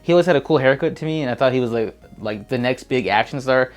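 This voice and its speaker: A man's voice: mid-range voice, fast. Laid-back man chatting, music underneath. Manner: laid-back, slangy, rambling. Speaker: co-host. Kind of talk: casual chat reviewing movies. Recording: music playing behind. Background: background music.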